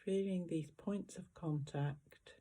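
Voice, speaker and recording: A meditation guide giving gentle, unhurried guided instructions with long pauses between phrases. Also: mid-range voice.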